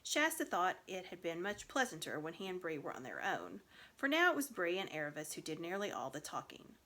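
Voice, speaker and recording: Bright, expressive woman reading a story. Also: fairly low pitch, fairly quick. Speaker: audiobook reader.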